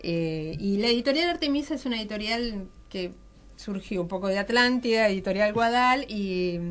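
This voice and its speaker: A woman's voice: medium pitch, lively intonation, moderate pace, clear, resonant tone. Argentine woman, conversational radio guest. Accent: Argentine Spanish. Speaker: guest, magazine editor and author. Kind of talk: casual radio interview.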